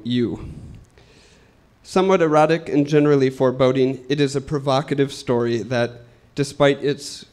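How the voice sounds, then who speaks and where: A man hosting a live literary event, giving a prepared introduction and reading aloud in a measured, formal way. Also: medium pitch, darkish tone. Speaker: event host introducing a translator.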